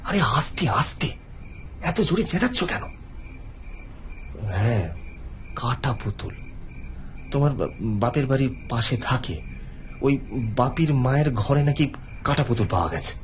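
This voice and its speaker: An actor playing a harried, placating, gossipy husband in an audio horror drama, speaking colloquially and hesitantly with sighs and fillers. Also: male voice, mid-range voice, sing-song, normal speed, long pauses, slight background noise, close to an everyday voice. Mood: uneasy, gossipy. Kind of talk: Bengali audio horror story acting. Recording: background music under the voice.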